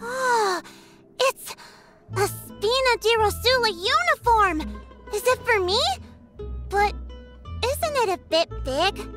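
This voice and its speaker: An eager little girl in a video game, her childlike voice pitched extremely high. She speaks slowly and politely, full of open admiration, with music playing behind her. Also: expressive, fairly bright tone, exaggerated voice. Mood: excited, curious.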